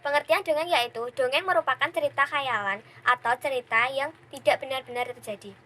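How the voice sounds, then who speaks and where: A woman's voice: high-pitched, brisk, bright, forceful tone. A young woman, a student presenter, giving a scripted explanation. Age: young adult.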